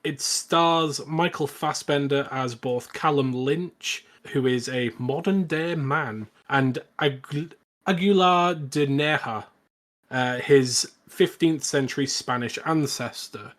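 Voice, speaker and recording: A man's voice: medium pitch, slow pace, a bit bright. Casual male podcast host. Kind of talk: casual film review podcast chat.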